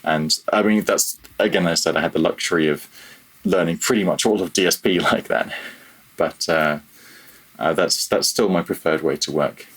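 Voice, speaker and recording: A man's voice: deep voice, normal speed, a bit bright, husky, poor audio. A man speaking as a guest in a casual technical interview, thinking aloud and often correcting himself mid-phrase. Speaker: guest, audio programmer.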